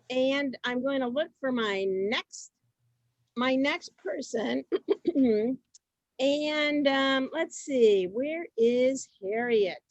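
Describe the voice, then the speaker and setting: A woman hosting a community reader's theater on a video call, halting and flustered, often repeating herself. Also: a little high, dry recording. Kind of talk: semi-scripted moderating.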